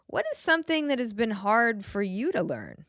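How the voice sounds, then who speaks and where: A woman reading a children's picture book aloud in a gentle, expressive way, pausing to put questions to the young listener. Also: on the high side, no room sound, studio quality. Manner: gentle, expressive, clearly enunciated storybook delivery.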